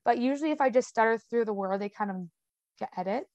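A woman's voice: a little high, quick pace, a little mellow, no echo, highs cut off. A young woman with a stutter, talking casually as an interview guest, with sound repetitions, blocks and lots of "um" and "like".

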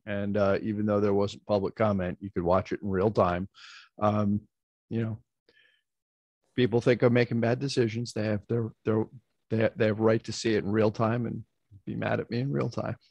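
A man chairing a public commission meeting over a video call, speaking in a measured, halting way with many fillers and restarts. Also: on the low side, flat delivery, vocal fry, darkish tone, no room sound, muffled recording.

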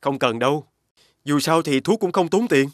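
A man voicing a humble, kind elder brother who travels selling medicine, gentle and respectful, in an animated fairy tale. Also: on the high side, moderate pace, no room sound, studio quality, natural voice.

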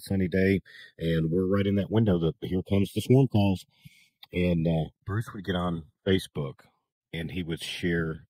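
Guest, middle-aged man, deep voice, dry close studio-mic sound, animated teasing storyteller. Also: no echo, low-quality recording. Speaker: beekeeping guest. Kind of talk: casual interview chat. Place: small studio room with acoustic panels. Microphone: large studio mic on a boom, video call.

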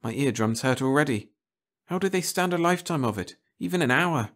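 A man's voice: mid-range voice, animated, brisk, fairly bright tone, voice pushed up, lightly acted. A man voicing an irritable, humourless alien shapeshifter, complaining savagely in a story. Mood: irritated.

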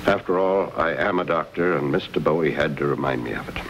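An actor playing a courteous middle-aged gentleman doctor in an old western, genial, measured and formal. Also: rumbling low. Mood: conceding. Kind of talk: 1950s television western acting. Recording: muffled, hissy old TV soundtrack.

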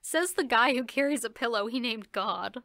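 A woman's voice: a little high, moderate pace, pushed and bright, no echo, clean studio sound. A woman playing a bold, teasing teenage schoolgirl in an audio drama. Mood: playful.

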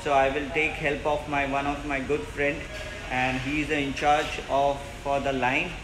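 Man, thirties, casual vlog host, mid-pitched voice, echoey room, background music. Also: level tone, unhurried, background noise. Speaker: vlogger, channel host. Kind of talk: casual vlog presenting to viewers. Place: large empty indoor mess room on a ship. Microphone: phone at arm's length. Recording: roomy echo with music behind.

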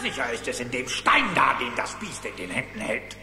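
Old skinflint uncle for cartoon radio play: crotchety, blustering, wildly swinging intonation. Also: male voice, medium pitch, normal speed, soft background music, exaggerated voice. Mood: confident, dismissive. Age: older. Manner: blustering, excitable. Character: crotchety, miserly old rich uncle duck.

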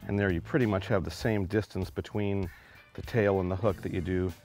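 A man's voice: low-pitched, fairly even intonation, brisk, a little mellow, soft background music. A man, a charter captain, explaining a fishing technique step by step in a practical way.

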